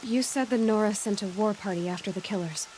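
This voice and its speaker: A woman's voice: mid-range voice, a little fast, background noise, close to an everyday voice. A young woman voicing a determined outcast hunter in a game cutscene, asking direct, inquisitive questions. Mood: earnest. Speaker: game protagonist. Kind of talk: video game cutscene voice acting.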